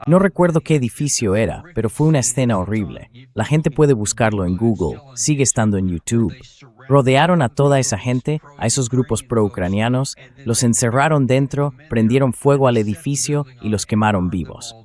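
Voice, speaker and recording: A man's synthetic voice-over reading translated political commentary in a measured, explanatory way, as an interview guest. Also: medium pitch, expressive, darkish tone.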